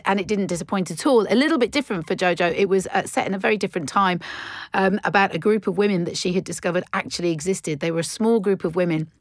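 Female radio presenter, mid-pitched, casual book chat, halting and rambling, very dry recording. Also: dry recording. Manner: hesitant, rambling, with many false starts.

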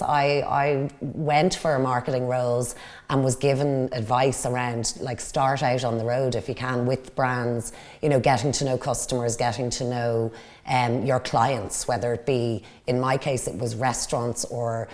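A woman with a Dublin accent and a strikingly deep voice. She speaks as an executive being interviewed, giving conversational, unscripted answers. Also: fairly even intonation, unhurried, a little mellow. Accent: Dublin Irish. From Dublin, Ireland. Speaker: interview guest, company executive.

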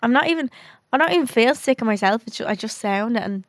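A woman's voice: on the high side, animated, quick pace, no room sound, clean studio sound. A woman co-hosting a podcast, chatting casually, swearing and laughing. She is stuffed up with a cold. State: congested with a cold. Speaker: podcast co-host. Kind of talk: casual chat.

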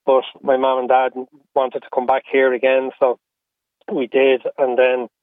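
A middle-aged man with an Irish accent speaking candidly and earnestly about personal matters as an interview guest, in a halting way with repeated phrases. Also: mid-range voice, monotone, smooth, no room sound. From Australia and Ireland. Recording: phone-like, narrow-band radio call.

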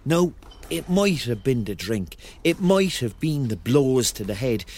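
A man's voice: medium pitch, very animated, a little slow, a little mellow. An actor playing a Cork man in his thirties, narrating a radio drama in a wry, colloquial way with a Cork Irish accent. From Cork, Ireland. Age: 30s. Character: thirty-year-old Cork man, wry, dreamy storyteller. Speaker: narrator and lead character. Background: music.

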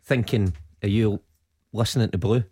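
A man's voice: on the low side, fairly even intonation, moderate pace, dark tone, breathy edge. A man, a football pundit on a radio show, mocking his colleague in disbelief. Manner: mocking, incredulous.

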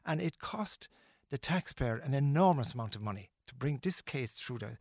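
A man's voice: mid-range voice, lively intonation, moderate pace, darkish tone, dry recording, studio quality. A middle-aged Irish man, a musician being interviewed, talking earnestly and emphatically with many restarts and fillers. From Ireland.

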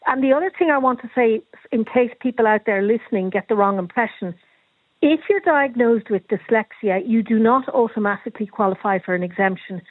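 A woman's voice: a little high, fairly quick, slightly rough, dry recording. Principal lady on the phone to radio, firm and informative, tinny dry line. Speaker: school principal, guest. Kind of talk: radio panel discussion by phone.

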